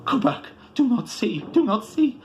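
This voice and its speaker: A man voicing a proud, wild mystic in a grandiose, shrill horror-story declamation, shrieking out incantations. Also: big pitch swings, a little slow, slight background noise, heavily acted. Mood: terrified shrieking. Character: proud shrieking mystic climber, exultant turning to terrified. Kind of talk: theatrical horror storybook reading. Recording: music behind the voice.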